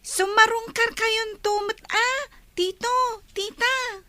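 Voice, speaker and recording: High, bright child's voice, slow. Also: female voice, steady tone, slight background noise, exaggerated voice. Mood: polite, cheerful. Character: polite young girl, niece greeting her aunt and uncle. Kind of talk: radio drama acting.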